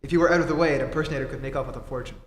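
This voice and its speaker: A man's voice: mid-range voice, very fast, natural voice. Earnest, determined male hero of a 1940s radio serial, played by a man, live in a noisy, reverberant hall. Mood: urgent, insistent. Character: earnest, determined adult male reporter who is secretly a superhero. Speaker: amateur theatre actor. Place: theatre stage before a live audience. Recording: echoey hall, live stage performance, noisy.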